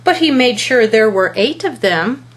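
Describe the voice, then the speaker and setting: A woman reading a children's picture book aloud as a storyteller, with deliberate, expressive phrasing. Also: fairly high pitch.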